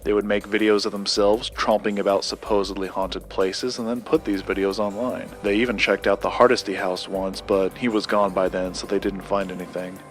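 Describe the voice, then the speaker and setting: An actor playing a formal, guarded paranormal collector and researcher, with precise, measured wording in an audio drama. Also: male voice, on the low side, flat delivery, soft background music, close to an everyday voice. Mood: measured, explanatory. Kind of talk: scripted audio drama dialogue.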